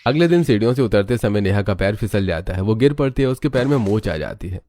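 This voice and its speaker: A man's voice: fairly low pitch, lively intonation, fast, mellow. A man giving scripted storybook narration for a family cartoon.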